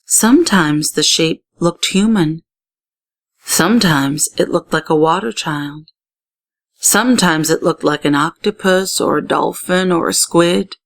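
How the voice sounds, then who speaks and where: A woman reading a story aloud expressively, with deliberate dramatic pauses. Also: fairly low pitch.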